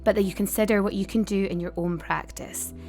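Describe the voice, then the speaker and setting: A woman delivering scripted narration for a training film, in a measured informational style. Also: mid-range voice, fairly even intonation, a little mellow, faint music behind.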